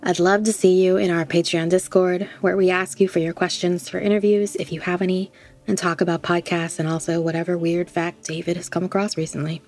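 A woman's voice: lowish voice, little pitch movement, a little fast, darkish tone. A woman podcast host reading a scripted promotional announcement. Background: music.

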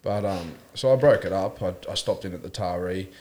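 Young Aussie host, lower voice, slangy, laughs through his stories. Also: a little fast, darkish tone. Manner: laughs often while telling stories, slangy. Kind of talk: casual chat.

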